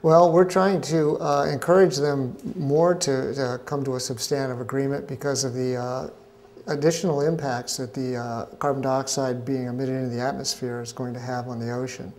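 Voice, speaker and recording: A middle-aged man, a scientist being interviewed at a conference pavilion, explaining in a measured way with frequent hesitations. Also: mid-range voice, fairly even intonation, darkish tone, slight background noise. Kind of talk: interview answers.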